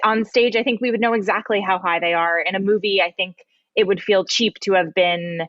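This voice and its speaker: A woman's voice: medium pitch, steady tone, a little fast, a bit bright, no echo, highs cut off. A woman explaining her writing thoughtfully and conversationally as a playwright on an interview panel.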